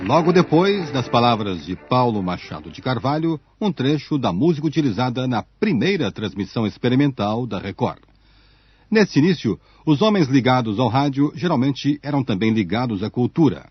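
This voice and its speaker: Male documentary narrator, Brazilian accent, scripted delivery, slightly husky voice, narrow phone-like audio. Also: medium pitch, very animated, moderate pace. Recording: muffled phone-like shortwave radio archive audio.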